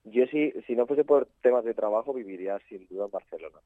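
A man's voice: medium pitch, quick pace, no echo. Chatty young man on a tinny line. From Spain. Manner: chatty, many fillers and restarts. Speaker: photographer guest. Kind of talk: casual interview chat.

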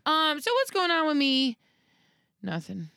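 A woman's voice: sits high, measured pace, vocal fry, dry recording. Chatty woman, dry close sound. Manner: animated anecdotes with acted-out quotes. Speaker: podcast co-host. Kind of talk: casual chat.

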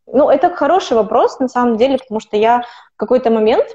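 Rambling woman in her twenties, mellow voice. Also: a little high, animated, quick pace. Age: 20s. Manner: rambling, frequent restarts and self-corrections. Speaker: podcast guest, opera student. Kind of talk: casual conversational interview. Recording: dull lo-fi recording.

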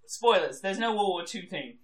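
A woman's voice: medium pitch, animated, normal speed. A woman co-hosting a podcast, talking frankly about a book and its TV adaptation, laughing often. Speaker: podcast co-host. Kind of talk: casual book discussion chat. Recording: roomy echo.

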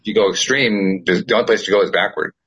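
A man hosting a casual podcast chat, reflective and quick to laugh as he gives life advice. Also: fairly low pitch, fairly bright tone, husky, highs cut off. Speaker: podcast host.

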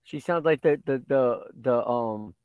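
A man's voice: mid-range voice, unhurried, smooth, no room sound, narrow, tinny audio. A man co-hosting a podcast, bantering bluntly in casual slang. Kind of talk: casual chat.

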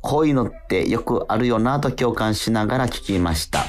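A man's voice: fairly low pitch, lively intonation, fairly quick. A man hosting a casual radio talk show, chatting effusively and reading out listener mail. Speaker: radio show host and actor. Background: background music.

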